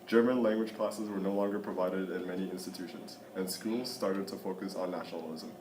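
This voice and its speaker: A young male student reading a history class project aloud in a flat, subdued way, recorded in a classroom. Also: deep voice, brisk. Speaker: student presenter. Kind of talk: reading a school project narration. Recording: roomy classroom with faint background noise.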